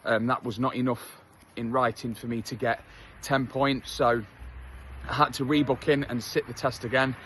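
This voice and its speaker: A man in his thirties with an English accent talks to camera on a run through town, open and self-deprecating, with plenty of ums along the way. His voice sits at an ordinary middle pitch. Faint street noise murmurs behind him. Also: little pitch movement, normal speed, a bit bright. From England. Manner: candid, self-deprecating, chatty with many fillers. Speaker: vlogger. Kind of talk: casual talk to camera while jogging. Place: outdoors on a street.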